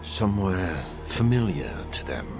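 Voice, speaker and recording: A brooding vampire scientist from a video game, speaks in a very low, gravelly voice. He is formal and grave, choosing his words with grim resolve. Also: big pitch swings, measured pace, over music, full character voice. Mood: grim, troubled. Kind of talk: dramatic video game acting.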